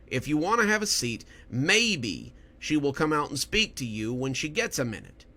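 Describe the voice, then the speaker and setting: A man's voice: fairly high pitch, big pitch swings, moderate pace, pushed and bright, heavily acted. A man voicing a stern, exasperated woman working a clinic front desk, scolding firmly in a horror audio story. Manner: firm, scolding, insistent.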